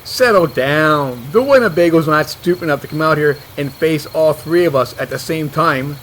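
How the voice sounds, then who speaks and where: A man's voice: on the high side, normal speed, fairly bright tone, slight background noise, lightly acted, low-quality recording. A man playing a cocky, dismissive and stubborn monster hunter with a sarcastic manner.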